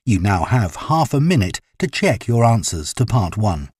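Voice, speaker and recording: Low husky guy reading exam instructions, lively pitch, dry booth sound. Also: no room sound. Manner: measured, formal announcing. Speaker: exam narrator. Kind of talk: scripted test instructions. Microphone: studio microphone, dry.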